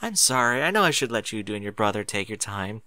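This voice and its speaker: Storybook mare, adult, teasing and coy, bright and animated played by a male reader. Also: lowish voice, brisk, no room sound. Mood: giggly, eager. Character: chatty, teasing adult mare, playfully impatient.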